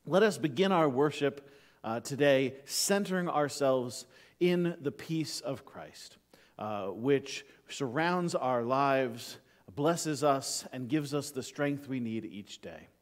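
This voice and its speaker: A middle-aged man as pastor welcoming people to worship, friendly and halting, with many fillers, standing in a church sanctuary. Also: medium pitch, lively intonation. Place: church sanctuary before the altar. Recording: sanctuary with long reverb.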